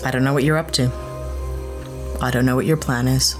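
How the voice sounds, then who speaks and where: A distrustful, irritable spacewoman with a low voice, played by a woman in a sci-fi radio play. She talks bluntly and sarcastically, snapping at those around her. Music runs behind the dialogue. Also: steady tone, brisk. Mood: angry, accusing. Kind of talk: sci-fi audio drama acting.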